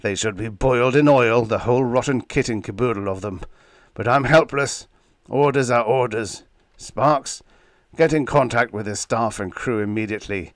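A man voicing a gruff, irascible old male spaceship captain who blusters and roars. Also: on the low side, normal speed, dry recording, studio quality, lightly acted. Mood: stern.

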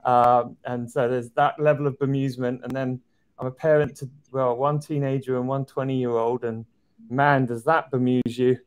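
A middle-aged man guesting on a video call from an office, speaking in a thoughtful, measured way. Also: medium pitch, flat delivery, clean tone, low-quality recording. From outside the US. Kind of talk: casual chat on a video call. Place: office indoors. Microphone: video call webcam.

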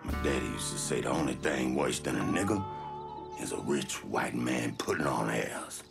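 An actor playing a menacing, bigoted Southern henchman in a video game, with a gravelly, folksy drawl. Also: rumbling low, lively intonation, moderate pace, full character voice. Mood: sneering, menacing. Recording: music behind the voice.

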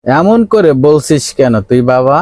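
A man's voice: a little high, lively intonation, moderate pace, a little mellow, dry recording, close to an everyday voice. Man voicing a reproachful, swooping cartoon father. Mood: indignant. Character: cold, grasping father of a migrant son. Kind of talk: cartoon voice acting.

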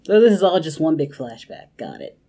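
A woman hosts a reaction video with casual, off-the-cuff commentary. Her pitch moves around in an animated way, and she tends to exclaim and say phrases over again. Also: brisk. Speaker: host of a reaction channel.

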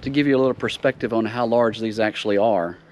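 A man, giving a relaxed, easygoing tour of roadside sights outdoors, recorded on a camera mic. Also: on the low side, fast talker, slight background noise. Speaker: travel vlogger. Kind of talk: casual tour narration.

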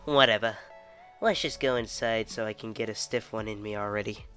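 A man playing a brash, sassy, crude-mouthed flamboyant demon in an animated comic dub. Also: lowish voice, a little fast, faint music behind. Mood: dismissive. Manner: sassy, snappy, swearing.

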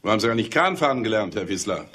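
A man's voice: fairly low pitch, very animated, rapid-fire, fairly bright tone. An actor playing a stubborn, sardonic male police detective in a German radio crime drama. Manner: blustering, sardonic.

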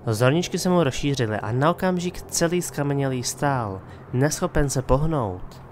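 A man's voice: mid-range voice, animated, a little hiss or hum. A man hosting and narrating a scripted, dramatic fantasy story. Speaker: narrator and host. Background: faint background music.

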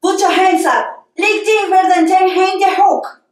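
A woman's voice: mid-range voice, animated, unhurried, a bit bright. An Argentine woman in her late thirties with a Spanish accent, acting a stern, threatening agent in a film audition. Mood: forceful. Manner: curt, commanding, threatening. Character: stern armed female Israeli agent interrogating a suspect. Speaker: actress auditioning. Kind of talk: acted film audition scene. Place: small bare indoor room. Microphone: camera a few feet away. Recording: echoey room, distant microphone.

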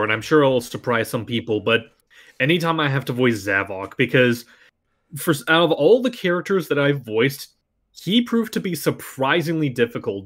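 A man with a medium-pitched voice talks as a panelist. He is a voice actor, and he speaks in a casual, conversational way while answering questions. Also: fairly bright tone.

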